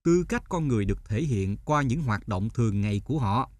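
An actor playing a stern, wise older male teacher in an animated folk tale, speaking in a measured, didactic way. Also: mid-range voice, dry recording, lightly acted. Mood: stern, admonishing.

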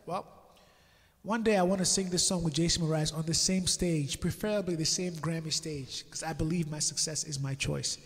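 A man's voice: a little high, fairly even intonation, moderate pace, in a large hall. A young man from Nigeria playing and singing at home into a stand mic, with joking patter between songs. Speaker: musician performing. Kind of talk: live acoustic song set with banter. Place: living room at home. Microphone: stand mic in front of him. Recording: loud guitar over the voice. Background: his own acoustic guitar.

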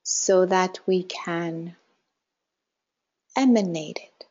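A woman leading a guided meditation in a calm, measured way, with short instructions and soft phrase endings. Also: lowish voice, dull audio. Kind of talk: guided meditation, slow spoken instructions with long pauses.

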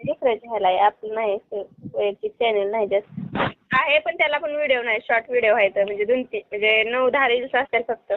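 A woman's voice: a little high, fast talker. A young woman with a rural western Maharashtrian Marathi accent, chatting casually on the phone. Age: young adult. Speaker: social media video performer. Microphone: phone line. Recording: tinny phone call recording.

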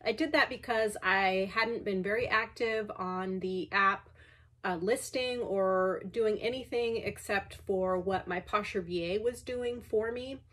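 A woman's voice: medium pitch, unhurried, fairly bright tone. A woman in her thirties, a bit congested and sniffly, chatting casually as she packs her online sales, with fillers and self-deprecating asides. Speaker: online reseller. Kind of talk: chatty packing vlog. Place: large cluttered indoor workspace.

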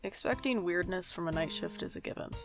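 A woman's voice: fairly low pitch, a little fast, over music, natural voice. A woman playing a sardonic young night-shift worker in an audio drama, with a dry, casual delivery. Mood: wry narration. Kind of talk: audio drama acting and first-person narration.

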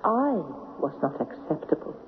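A woman's voice: moderate pace, a little hiss or hum, natural voice. An actress playing an earnest, tender young woman in love, dramatic in a 1970s radio play. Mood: tender pleading. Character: young woman dreamer and tender, pleading royal lover. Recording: very dull, phone-like old radio recording.

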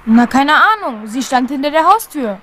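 A woman's voice: sits high, big pitch swings, normal speed, crowd noise. A woman playing a cheeky, observant young boy, eager and matter-of-fact, in a German crime radio drama.

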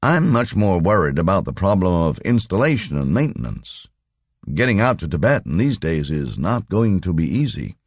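A courteous company scientist , played by a male audiobook narrator, questions in a businesslike, slightly baffled way. The voice is low, with a dry studio-like closeness. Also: very animated, normal speed, no echo, full character voice. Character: polite, puzzled male computer company doctor.

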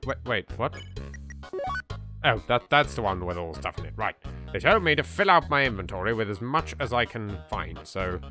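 A man with an English accent hosting a voiced game playthrough, chatty and quick to yelp. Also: fairly low pitch, sing-song, over music. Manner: chatty, bursts into loud laughter and yelps. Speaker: let's play host.

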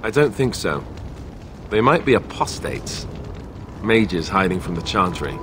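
An actor playing an earnest young warden knight in a fantasy game. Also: male voice, sits low, very animated, measured pace, background noise. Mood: uncertain. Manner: earnest, formal. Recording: game dialogue over loud background ambience.

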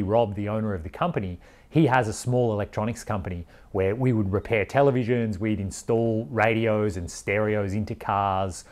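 A man in his forties from Australia explaining things in a casual vlog, frank and plain-spoken. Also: on the low side. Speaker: vlogger, overland traveller. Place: indoors in a workshop garage, and seated in a vehicle.

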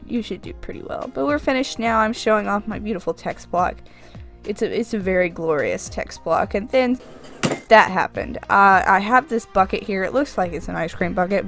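Young woman narrating her craft vid into a desk mic, stuffy nose, rambly and jokey, mid pitch, faint music under. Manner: rambling, self-deprecating, chatty. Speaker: craft vlogger. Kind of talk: casual voice-over narration. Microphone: desk microphone, voice-over.

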